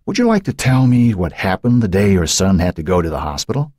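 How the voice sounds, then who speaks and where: A man reading the part of a calm male psychiatrist who prods with measured questions, husky voiced. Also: low voice, no echo, studio quality. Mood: probing. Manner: measured, prodding, faintly mocking.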